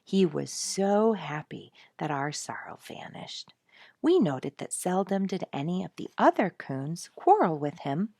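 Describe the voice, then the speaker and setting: A woman reading a story as a scripted audiobook narrator, with expressive delivery. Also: lowish voice, measured pace, vocal fry, no echo, studio quality.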